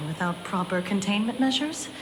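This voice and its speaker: A woman voicing a composed government examiner in a video game, formal, measured and deadpan. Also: mid-range voice, heavy background noise, lightly acted. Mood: grave, explaining. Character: composed, clinical, dry female government examiner. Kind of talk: scripted game dialogue. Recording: loud game music behind voice. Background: loud game music.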